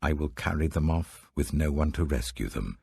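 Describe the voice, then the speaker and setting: An older man reading scripture aloud in a measured, solemn audiobook style, lifting his voice for quoted proclamations, recorded close in a studio. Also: very deep voice, expressive, creaky, warm tone, breathy edge, no echo. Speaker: Bible reader.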